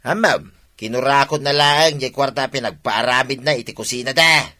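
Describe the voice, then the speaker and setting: A man's voice: mid-range voice, moderate pace, sharp tone, lightly acted. A man acting in a radio drama as a lazy, idle husband, grumbling and defensive as he whines. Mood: scornful.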